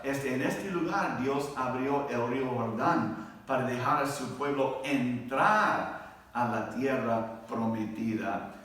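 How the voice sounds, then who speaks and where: A man with a foreign accent, not a native Spanish speaker, teaching a church Bible class in a halting way, searching for words and checking with his listeners. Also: mid-range voice. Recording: very echoey room, distant microphone.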